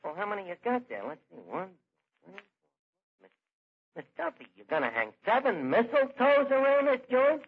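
An actor playing a wisecracking, blustering New York tavern manager in an old-time radio comedy, with slangy, hesitant patter. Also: male voice, very high-pitched, very animated, moderate pace, pauses often, husky, full character voice. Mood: wry. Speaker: comedy show lead. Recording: dull, noisy old radio recording.

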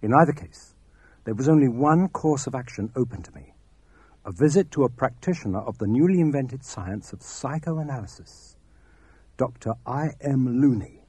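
Man, comic radio play narrator, pompous, husky, animated. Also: medium pitch, moderate pace, dry recording. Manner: pompous, theatrical. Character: pompous Victorian ear doctor. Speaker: narrator and lead actor.

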